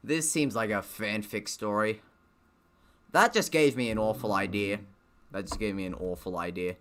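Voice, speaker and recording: A man's voice: on the low side, moderate pace, fairly bright tone. A man giving casual live gaming commentary and reading a visual novel aloud, with a sweary, joking, giggly manner.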